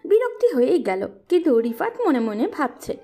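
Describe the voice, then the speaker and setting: A woman's voice: sits high, big pitch swings, moderate pace, mellow. A woman narrating a scripted romantic story.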